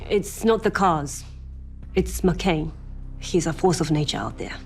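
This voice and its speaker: A woman's voice: low voice, lively intonation, vocal fry, darkish tone, soft background music, full character voice. An actress playing a dry, terse female motorsport insider in a documentary-style game interview. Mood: matter-of-fact. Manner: terse, deliberate.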